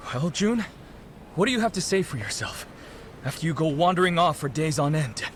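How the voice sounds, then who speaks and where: An actor playing an anxious, easily shaken middle-aged father in a game cutscene, speaking in a proper but halting, emotional way. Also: a little high, lively intonation, slight background noise, close to an everyday voice. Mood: stern, upset. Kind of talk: dramatic game cutscene dubbing.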